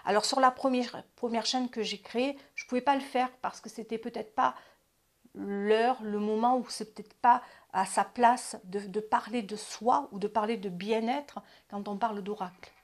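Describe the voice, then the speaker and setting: A woman in her fifties who hosts an advice channel and talks casually about self-care, often restarting her sentences and dropping into quiet asides. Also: fairly high pitch, fairly even intonation, moderate pace. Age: 50s. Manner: chatty, repeats and restarts, drops to asides.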